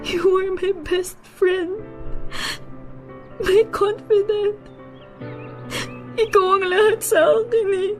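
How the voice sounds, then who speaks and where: Young Filipina ex-girlfriend, very high voice, heartbroken, defiant, pleading; radio drama over music. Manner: emotional, pleading, switches between Tagalog and English. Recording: music bed under the dialogue.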